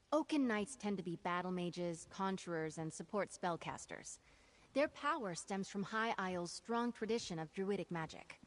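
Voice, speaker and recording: A woman's voice: medium pitch, natural voice. An actress playing a plucky, wry female adventurer in a fantasy video game, talking in a conversational way.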